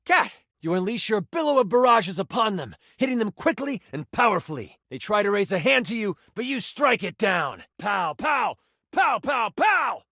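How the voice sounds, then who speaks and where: Theatrical, animated fantasy game master. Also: male voice, high-pitched, moderate pace, vocal fry, dry recording, clean studio sound, exaggerated voice. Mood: excited, dramatic. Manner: theatrical, expressive, savoring suspense. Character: personified D20 die, male, theatrical, enthusiastic game master. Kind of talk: dramatic tabletop fantasy narration.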